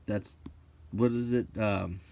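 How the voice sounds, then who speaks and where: A middle-aged man talks in a fairly low voice at a very unhurried pace. He gives casual commentary in short, halting phrases, musing aloud as he browses. There is some background noise with small clicks. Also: steady tone, vocal fry, mellow. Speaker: host of a hobby channel. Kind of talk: casual unscripted commentary while leafing through a book.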